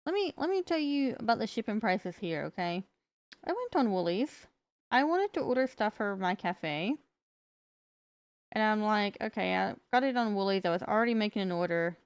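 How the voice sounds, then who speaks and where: A woman's voice: mid-range voice, clear, resonant tone, dry recording. Chatty Southern-Australian accented woman. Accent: Southern US mixed with Australian. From North Carolina, USA. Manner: chatty, quick storytelling with exasperated asides. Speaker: guest on a livestream. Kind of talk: casual chat.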